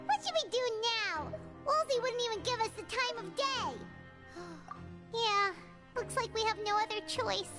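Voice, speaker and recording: A woman's voice: very high voice, expressive, measured pace. A woman voicing a tiny, chatty, dramatic fairy companion who talks about herself in third person, in game dialogue. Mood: dismayed. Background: game music.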